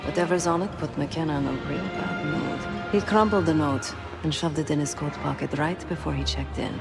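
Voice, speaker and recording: A woman playing a composed, sly undercover operative in a video game, speaking in a cool, confiding, businesslike way. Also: normal speed. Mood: cool, conspiratorial. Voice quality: low, creaky. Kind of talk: video game cutscene acting. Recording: loud music behind.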